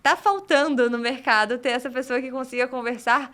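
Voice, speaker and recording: A woman with a Brazilian Portuguese accent, a guest on a podcast, explaining things in a measured way and often asking "né?". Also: sits high, a bit bright. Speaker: legal tech guest. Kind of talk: podcast interview answers.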